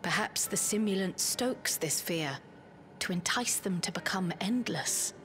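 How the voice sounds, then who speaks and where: An actress playing a composed, analytical adviser in a video game, with a formal, thoughtful and deliberate manner. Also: female voice, medium pitch, lively intonation, a bit bright, in a whisper. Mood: thoughtful concern. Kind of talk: dramatic video game voice acting.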